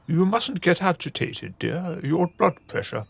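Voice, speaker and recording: Gravelly, long-suffering older husband. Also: male voice, high-pitched, big pitch swings, no room sound, heavily acted. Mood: wry, placating. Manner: dry, mildly sarcastic, measured. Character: weary, dry, long-suffering older Venetian husband and father. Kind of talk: radio drama acting.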